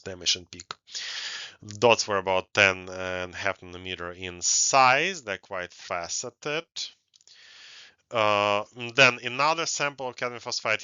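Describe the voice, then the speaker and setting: A middle-aged man gives a technical conference lecture in a low, clear and bright voice. He speaks haltingly, pausing often as he explains. The headset recording is close and dry, though somewhat dull. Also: no echo. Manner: halting, frequent hesitations and pauses. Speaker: academic researcher presenting. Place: indoors, recorded online presentation. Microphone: headset on a video call. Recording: dull, band-limited headset audio.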